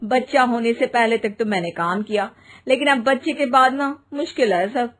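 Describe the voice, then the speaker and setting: A woman's voice: a little high, moderate pace, a bit bright. A woman voicing a weary young celebrity mother in a comic cartoon story, chummy and complaining in a resigned way.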